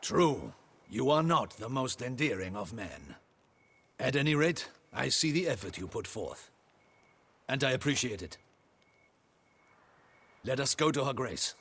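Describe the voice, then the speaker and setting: A man's voice: mid-range voice, big pitch swings, unhurried, pauses often, fairly bright tone, breathy edge, heavily acted. A man playing a dutiful guard captain in a video game, speaking in a formal, stiff and courteous way. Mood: earnest respect. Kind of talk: video game cinematic acting.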